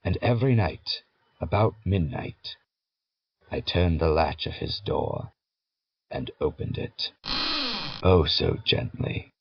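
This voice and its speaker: A low, slow, obsessive madman. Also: expressive, vocal fry, slightly rough, no echo, muffled recording, full character voice. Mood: proud, secretive. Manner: deliberate, intense, halting, emphatic repetition. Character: nervous, obsessive madman insisting on his sanity, confessing murder. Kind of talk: gothic audio drama monologue. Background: prominent music.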